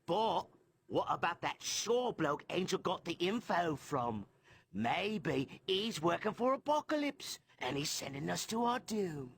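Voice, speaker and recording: An actor playing a suspicious, cowardly little mutant in a video game, wheedling and creaky. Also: male voice, sits high, big pitch swings, unhurried, fairly bright tone, dry recording, full character voice. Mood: suspicious, needling. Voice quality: creaky, gravelly.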